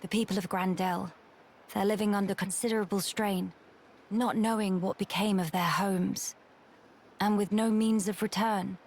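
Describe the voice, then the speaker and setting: A woman playing a dignified young noblewoman in a video game, speaking in a measured, formal, earnest way. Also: mid-range voice, fairly even intonation, darkish tone, slight background noise, lightly acted. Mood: grave, sympathetic. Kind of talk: dramatic video game voice acting.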